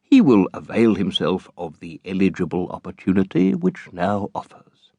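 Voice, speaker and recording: A man voicing a pompous, sanctimonious middle-aged father in an audiobook, speaking slowly and distinctly in an unctuous, moralising way. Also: sits low, very animated, gravelly, darkish tone, no room sound, muffled recording, exaggerated voice. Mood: self-satisfied.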